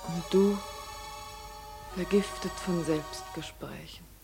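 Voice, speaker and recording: A lowish female voice over clear music, a reproachful, musing figure in a radio drama. She speaks as if in intimate, poetic address to one person. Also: fairly even intonation, slow. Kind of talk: poetic radio play acting.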